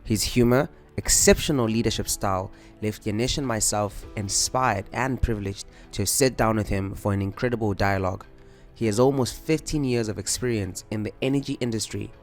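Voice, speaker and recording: A man reading a scripted introduction for a show in a measured announcing manner. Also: on the low side, soft background music. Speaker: show co-host.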